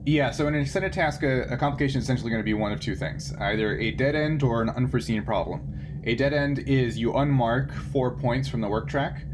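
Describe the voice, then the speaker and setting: A man in the game master's seat, calmly walking players through dice and task rules at an ordinary pitch. His mic picks up a clear layer of noise behind his voice. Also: fairly quick. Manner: explains rules patiently, laughs easily. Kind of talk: running a tabletop game over a call.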